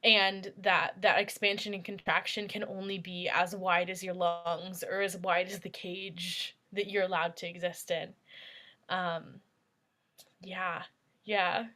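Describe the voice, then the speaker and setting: A woman's voice: on the low side, flat delivery, pushed and bright. A woman moderating a casual panel discussion, searching for her words as she thinks aloud. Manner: halting, reflective, searching for words. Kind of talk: casual post-show discussion.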